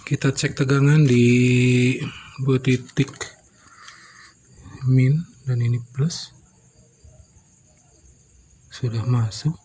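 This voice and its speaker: A man's voice: mid-range voice, steady tone, drawn-out words, long pauses, dark tone, slight background noise, rough recording. A man giving a casual hands-on repair commentary, thinking aloud step by step as he works. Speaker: electronics repairer and video host.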